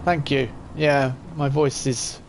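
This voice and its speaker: A man's voice: mid-range voice, little pitch movement, slow, a little hiss or hum. A man in his forties giving casual live-stream commentary as he plays, chatting and thinking aloud. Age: 40s.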